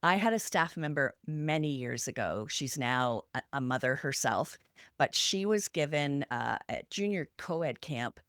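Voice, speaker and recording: A woman's voice: deep voice, lively intonation, measured pace, no echo, clean studio sound. A woman hosting a podcast, sharing anecdotes and advice in a conversational way. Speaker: podcast host.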